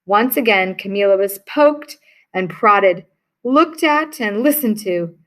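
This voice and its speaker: A woman reading a children's picture book aloud in a lively, expressive way. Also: medium pitch, slow pace. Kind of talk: read-aloud storybook narration.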